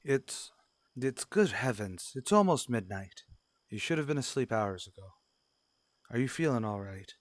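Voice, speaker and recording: A man playing a patient, concerned father in an old-time radio drama, gentle but firm. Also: mid-range voice, animated, unhurried, no room sound, natural voice. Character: patient, concerned father talking to his young son.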